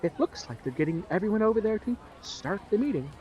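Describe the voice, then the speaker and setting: An actor plays an eager, starry-eyed man with a fairly high voice and very lively intonation. He makes earnest, gushing small talk as a true believer in an audio drama. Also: fast, dull audio. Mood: gushing admiration. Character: eager, starry-eyed male devotee of an organization.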